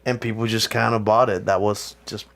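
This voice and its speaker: A man with a Spanish accent, a native Spanish speaker, hosting a podcast and chatting casually in a rambling, animated way, cursing here and there. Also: lowish voice, normal speed, gravelly. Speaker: podcast host. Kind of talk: casual chat.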